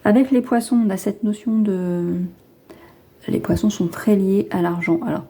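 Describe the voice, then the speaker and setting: A woman's voice: mid-range voice, normal speed, creaky, mellow. A woman with a head cold chatting casually to viewers as she reads tarot cards, hesitant and rambling as she thinks aloud. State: has a lingering head cold. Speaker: tarot card reader hosting a reading.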